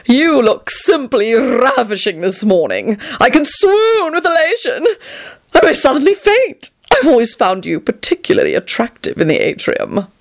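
A woman voicing a flamboyant, melodramatic man who gushes endearments and gossip in a shrill, theatrical storybook manner. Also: expressive, a little slow. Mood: swooning delight. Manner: effusive, melodramatic, swooning endearments.

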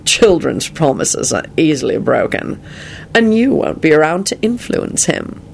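A man's voice: fairly high pitch, sing-song, measured pace, gravelly, slight background noise, full character voice. A man voicing a disdainful aristocratic wizard villain in an audiobook-style story, with a bored, sarcastic drawl. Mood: bored sarcasm.

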